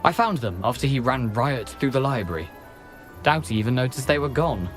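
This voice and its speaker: An actor playing a cheery, roguish decapitated ghost in a video game, with a British accent and a wry, theatrical, chatty manner. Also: male voice, mid-range voice, lively intonation, brisk, faint music behind, lightly acted. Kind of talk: video game character acting.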